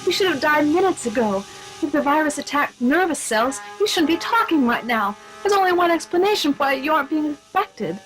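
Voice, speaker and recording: A woman acts out the hero's anxious female sidekick in a comedy radio play. Her voice is distinctly high, and she plays it earnestly, in melodramatic style. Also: animated, a little fast, a bit bright, low-quality recording. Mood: urgent, earnest. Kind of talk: comic radio play acting.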